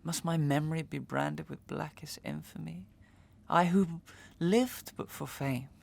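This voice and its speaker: A man playing an anguished, haunted gentleman in a Victorian melodrama soliloquy, declamatory, halting and overwrought, over some background noise. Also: a little high, gravelly, exaggerated voice. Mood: despairing. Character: anguished, haunted gentleman, despairing and half-mad.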